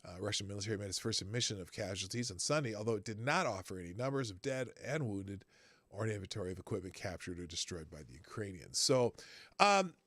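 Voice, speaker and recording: A man's voice: lowish voice, lively intonation, fairly bright tone, no room sound. A man hosting a live news commentary stream, reading headlines aloud and answering chat, with frequent restarts and fillers. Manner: halting, many restarts and fillers, reads articles aloud, chats with viewers.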